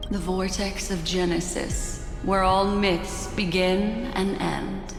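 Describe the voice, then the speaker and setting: A woman voicing a serene, mysterious, elegant seer in a video game, unhurried and solemn. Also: medium pitch, flat delivery. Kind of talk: video game story voice acting. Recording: echoey hall reverb, music behind.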